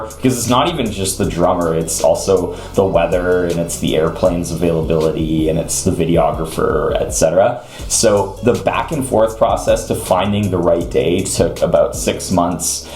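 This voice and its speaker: A man's voice: low-pitched, darkish tone. A man hosting a show, telling a story casually and explaining things in a conversational way. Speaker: host of an aviation talk show. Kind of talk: casual motivational storytelling to listeners. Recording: roomy echo, music underneath. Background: background music.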